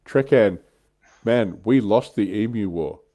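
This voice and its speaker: A man with a very low, soft-edged voice hosts a relaxed hobby livestream. He talks casually and wryly, dropping in blunt slang and dry jokes as he comments. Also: slow. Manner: wry, joking, blunt slang. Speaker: livestream panel host.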